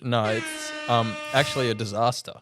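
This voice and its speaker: A man's voice: medium pitch, fairly even intonation, normal speed, heavy background noise. A man co-hosting a podcast in casual chat, playful and teasing. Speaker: podcast co-host.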